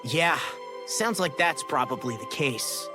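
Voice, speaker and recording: A man playing a blunt, excitable young fighter and protective friend in a video game cutscene. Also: a little high, slow, over music. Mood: sceptical.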